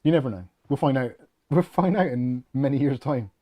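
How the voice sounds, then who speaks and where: A man's voice: mid-range voice, animated, normal speed, dark tone. A middle-aged British man hosting a casual livestream, rambling and joking as he reads out viewer comments. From United Kingdom. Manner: rambling, jokey, self-deprecating. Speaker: host of a tech channel livestream.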